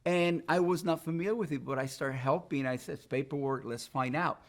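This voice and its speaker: A man with a Puerto Rican Spanish accent speaks in a fairly high voice. He talks in a thoughtful, earnest way, pausing now and then as he tells his story. Spanish is his first language, and he answers questions as a guest in a relaxed interview. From Puerto Rico. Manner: reflective, earnest storytelling with pauses. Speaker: immigration attorney guest. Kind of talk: conversational podcast interview.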